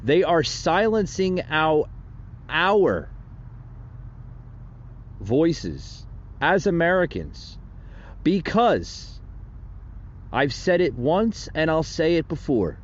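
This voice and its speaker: A man delivers slow, indignant political commentary on his own podcast, his pitch rising and falling a lot. He rambles and restarts often. The recording is slightly muffled, with faint noise behind him. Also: sing-song. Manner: rambling and repetitive, with many fillers and restarts; indignant and preachy. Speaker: podcast host.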